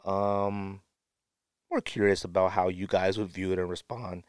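A man with a fairly low voice, recorded close and dry, hosts a podcast solo. He talks casually and with strong opinions, leaning hard on key phrases and repeating them to drive the point home. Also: level tone, unhurried, clean tone, dry recording. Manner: emphatic, repeats phrases for effect. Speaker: podcast host.

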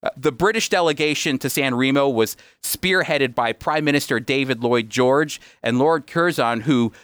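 A man's voice: mid-range voice, normal speed, a bit bright, smooth, no room sound. A man presenting an explanatory lesson, speaking emphatically and directly to his listeners. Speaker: presenter giving a history lesson. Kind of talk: explanatory teaching talk, semi-scripted.

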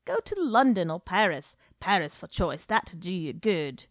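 A woman voicing a loud, worldly male journalist who brags jovially, in a dry recording. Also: on the low side, expressive, measured pace, no room sound. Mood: swaggering. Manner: brash, swaggering, jovial. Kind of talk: audiobook character reading.

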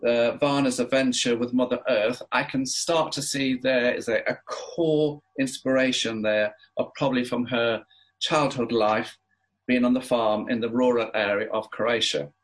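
A man hosting a podcast interview, talking in a rambling, halting way with many fillers. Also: mid-range voice, steady tone, rough recording. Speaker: podcast host. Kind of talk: casual interview.

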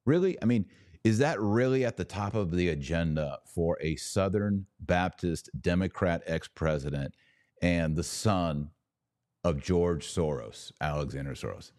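A man with a very low-pitched voice gives conversational opinion commentary as a podcast host. He is emphatic, leaning on repetition and rhetorical questions with a wry streak. The recording is dry, with hardly any room sound. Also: measured pace, a little mellow, no echo. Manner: emphatic, repeats words and asks rhetorical questions.

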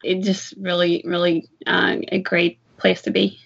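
A woman's voice: fairly low pitch, monotone, measured pace, vocal fry, fairly bright tone, breathy edge, lo-fi sound. A woman who grew up in Ukraine and Israel, speaking as a guest in a podcast interview in a fluent, upbeat, matter-of-fact way. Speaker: guest, cloud engineer.

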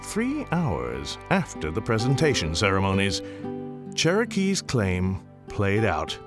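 An actor narrating a radio storybook tale of the Old West as a wry, courtly storyteller. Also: male voice, on the low side, wildly swooping pitch, takes it slowly, exaggerated voice. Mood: wry storytelling. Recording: music behind the voice.

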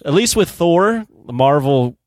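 A man's voice: a little high, animated, takes it slowly, no room sound, clean studio sound. A man co-hosting a podcast in casual, conversational chat. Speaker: podcast co-host.

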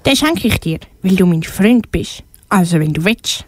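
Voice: mid-range voice, lively intonation, moderate pace, no room sound, lightly acted. A young female performer playing Max, a kind and generous little boy in a children's audio play.

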